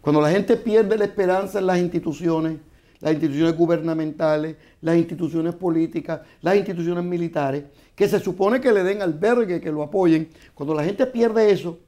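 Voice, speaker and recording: A man, a guest author, explaining scripture in a measured, teaching way on a studio panel. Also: fairly high pitch, warm tone.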